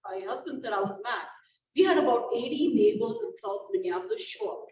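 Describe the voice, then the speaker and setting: A woman's voice: on the high side, very animated, fairly quick. A woman with an Indian accent, a real estate agent telling a panel how she grew her business through events. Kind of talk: panel discussion, sharing experience. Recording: echoey room, distant mic, muffled.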